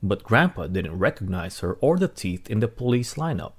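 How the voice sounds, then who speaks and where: A man reading a children's storybook aloud as narrator, in an expressive, dramatic way. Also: on the low side, normal speed, husky. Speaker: read-aloud host.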